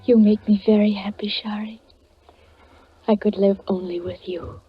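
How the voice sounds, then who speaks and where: An actress playing a gentle, trusting young blind peasant woman, earnest and tender in 1940s radio drama style. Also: medium pitch, fairly even intonation, moderate pace, natural voice. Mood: tender, devoted. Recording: muffled, noisy 1940s radio recording.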